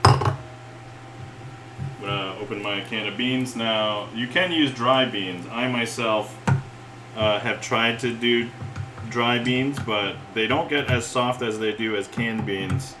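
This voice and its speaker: A man hosting a cooking livestream, rambling and chuckling often as he greets viewers, with a relaxed-sounding voice. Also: medium pitch, takes it slowly, noisy background. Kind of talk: casual livestream chat while cooking. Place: home kitchen. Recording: echoey room with loud cookware clatter. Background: clinking cutlery, pots and pans.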